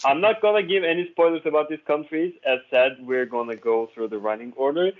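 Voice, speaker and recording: A man's voice: on the high side, a little fast. A man hosting a casual group discussion, heard through a tinny, band-limited connection. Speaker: host.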